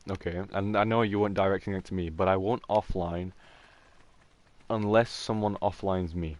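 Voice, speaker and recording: A young man with a deep voice, rambling casually as he plays a game and talks over voice chat. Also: steady tone, normal speed, a little mellow, no echo. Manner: rambling, chatty, trailing off mid-thought. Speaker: gaming streamer. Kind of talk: casual gameplay commentary with voice chat.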